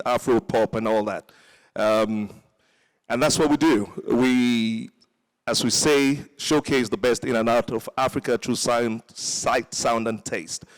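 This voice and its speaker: A man from Nigeria introducing his business on a panel, relaxed and easygoing. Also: medium pitch, low-quality recording. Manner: relaxed, with small laughs and fillers. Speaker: restaurant CEO, panelist.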